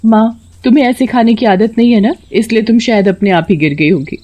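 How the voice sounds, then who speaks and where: A woman voicing a loving but easily stern young mother in a cartoon story, earnest and expressive. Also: fairly high pitch, brisk, pitched above the performer's own voice, lightly acted. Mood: concerned.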